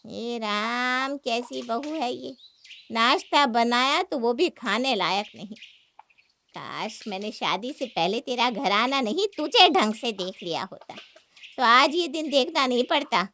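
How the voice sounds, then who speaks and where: Woman acting a shrill, nagging mother-in-law. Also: animated, a little slow, pushed and bright, heavily acted. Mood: sarcastic, exasperated. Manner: nagging, sarcastic. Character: scolding, exasperated mother-in-law of a modest household. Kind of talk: Hindi cartoon story acting.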